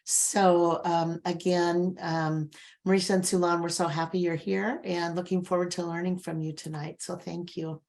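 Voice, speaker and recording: A woman's voice: on the low side, level tone, normal speed, a little mellow. A woman host introducing speakers at an online professional session over a video call. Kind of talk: introduction at an online session, partly read biographies.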